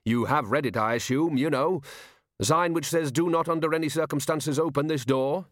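A man's voice: a little high, moderate pace, a bit bright, no echo, voice pushed up, close to an everyday voice. A man putting on a sarcastic senior wizard with a slightly raised, needling tone, as in an audiobook. The voice sounds fussy and pointed, recorded dry and close.

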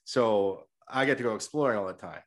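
A man from Canada hosting a casual interview, speaking haltingly with many fillers and restarts. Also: on the low side. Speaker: podcast host.

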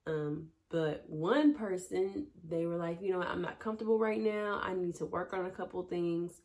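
A woman's voice: fairly low pitch, steady tone, normal speed, a little mellow. A young woman hosting her own podcast, talking casually to her listeners and calling them y'all and baby, still getting over a cold. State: recovering from a cold. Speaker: podcast host. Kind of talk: casual solo talk to listeners.

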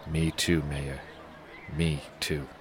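An actor playing a laconic, wisecracking war veteran turned investigator in a hardboiled 1930s noir audio drama. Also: male voice, a bass voice, flat delivery, takes it slowly, very noisy. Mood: low-key, guarded. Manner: terse, dry, wisecracking, casual slangy phrasing.

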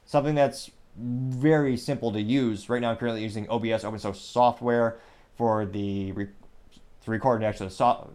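A man's voice: mid-range voice, fairly even intonation, darkish tone. A man hosting his own commentary show, rambling and correcting himself as he goes, recorded on a small USB microphone. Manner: rambling, self-correcting, mentions stuttering and speaking fast. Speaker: show host.

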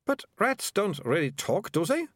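A haughty storybook girl, acted by a male reader, pitched high. She is blunt, dismissive and scornful, with lively swings in tone. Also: normal speed, no echo, studio quality, exaggerated voice. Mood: bewildered. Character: blunt, scornful girl who hates rats.

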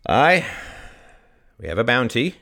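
A man voicing a blunt old rustic farmer who speaks in a folksy, deliberate way. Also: medium pitch, big pitch swings, creaky, pushed and bright, voice pushed up, heavily acted. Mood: gruff persuasion.

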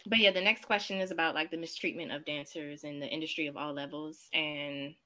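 A woman's voice: low voice, steady tone, brisk, pushed and bright. A woman hosting a casual interview, asking questions in a chatty, upbeat way with frequent "um"s. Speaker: interview host.

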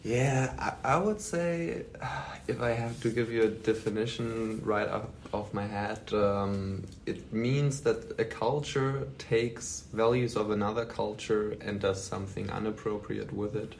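A man's voice: on the low side, unhurried, vocal fry, a little mellow, slight background noise. A man from Germany with a German accent, co-hosting a casual discussion as a non-native English speaker. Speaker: podcast co-host.

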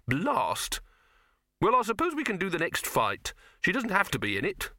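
Whiny, fussy film director, high and sarcastic, voiced by a man. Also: huge pitch swings, unhurried, sharp tone, no room sound, clean studio sound, heavily acted. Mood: whining. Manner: wailing, grumpy, sarcastic.

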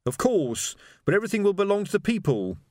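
A man's voice: high-pitched, big pitch swings, fast talker, darkish tone, no echo, studio quality, voice pushed up. A man voicing a prim, idealistic revolutionary in an audiobook, preachy and insistent. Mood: earnest, explaining. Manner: preachy, insistent, easily offended. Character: earnest, prim, idealistic male revolutionary organiser.

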